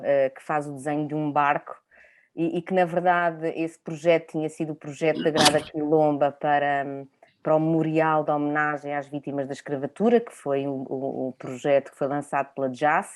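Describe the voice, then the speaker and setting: A woman's voice: sits low, steady tone, normal speed, a little mellow. A woman with a Portuguese accent speaking reflectively in a panel discussion, searching for words and often adding tag questions.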